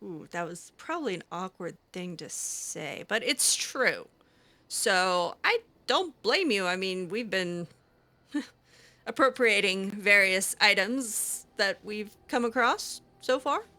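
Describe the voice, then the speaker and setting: A woman's voice: medium pitch, lively intonation, takes it slowly, bright, forceful tone, dry recording. A woman improvising conversational dialogue as she roleplays through a video game, chatty and a little hesitant. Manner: hesitant, chatty, reacting with short interjections. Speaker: roleplay gamer, channel host.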